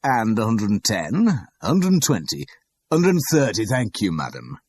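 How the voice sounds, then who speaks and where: A man voicing a showy, jovial male auctioneer with grand, booming patter in an audiobook. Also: wildly swooping pitch, measured pace, a little mellow, breathy edge, dry recording, exaggerated voice.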